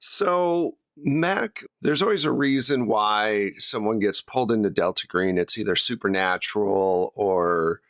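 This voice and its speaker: A man's voice: on the low side, measured pace, few pauses, fairly bright tone, no echo, clean studio sound. A man with an American accent hosting a podcast in a casual, conversational way. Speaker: host and game master of an actual-play podcast.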